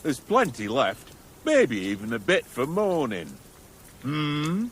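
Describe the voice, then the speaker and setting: A man playing an easygoing, practical camp comrade in a video game, talking in an unhurried manner. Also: fairly high pitch, sing-song, slight background noise. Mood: mild, practical.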